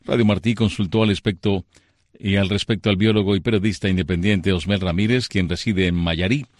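A man reading a radio news bulletin as anchor, in a measured, formal delivery. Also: deep voice, fairly even intonation, a little mellow, no room sound, clean studio sound.

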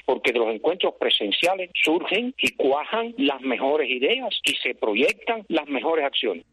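A man's voice: fairly high pitch, sing-song, unhurried, a bit bright, husky, no room sound. A man with a Cuban accent giving an earnest spoken statement as an activist professor, in a lo-fi recorded message. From Cuba. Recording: dull lo-fi phone-style voice message.

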